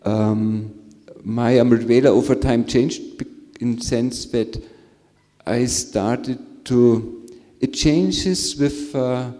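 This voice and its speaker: A man sharing a spiritual experience on a panel, speaking slowly and searching for words with soft laughs. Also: medium pitch. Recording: reverberant hall.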